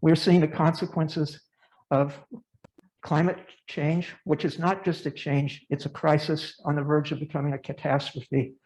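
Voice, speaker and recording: A man's voice: on the high side, little pitch movement, measured pace, darkish tone, poor audio. A congregant man sharing a serious concern in a church service, speaking earnestly and with emphasis. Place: church sanctuary.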